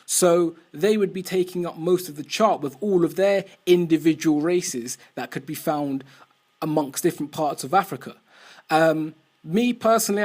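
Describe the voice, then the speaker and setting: A young man speaking straight to camera, picking apart an argument point by point. His voice sits a little high for a man, and he leans on rhetorical questions. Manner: reasoned, rhetorical questions, reads quotes aloud. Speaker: vlogger answering a critic. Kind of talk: casual argumentative commentary to camera.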